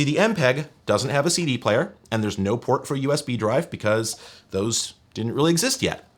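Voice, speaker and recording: Casting: male video host, mid-pitched and very lively in intonation, casual hands-on tech explainer, wry and colloquial. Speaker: video host presenting vintage electronics.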